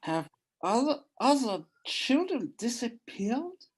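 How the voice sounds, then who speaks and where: A man playing a solemn investigator in a comic-book reading, measured and serious. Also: very high-pitched, big pitch swings, slightly rough, voice pushed up, exaggerated voice.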